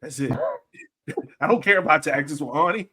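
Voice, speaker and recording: A man's voice: a little high, animated, moderate pace, bright, forceful tone, slightly rough. Informal, slangy man hosting sports chat. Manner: informal, slangy, playful banter. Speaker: podcast host. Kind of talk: casual sports panel chat.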